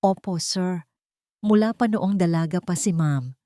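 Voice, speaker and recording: A woman's voice: fairly low pitch, measured pace, dark tone, dry recording, lightly acted. A woman plays an old, loyal housemaid in an audio storybook. She is deferential and hesitant, confiding troubled secrets, with a dry, close sound. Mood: grave, confiding.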